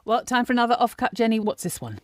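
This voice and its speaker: Female podcast host, casual interviewer, fairly high voice, very varied intonation. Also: very animated, fast.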